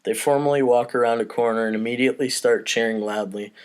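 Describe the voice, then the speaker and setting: A man's voice: mid-range voice, steady tone, fast, smooth. Man casually narrating a comedy script. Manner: offhand, breaking off for asides. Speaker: podcast host, script narrator.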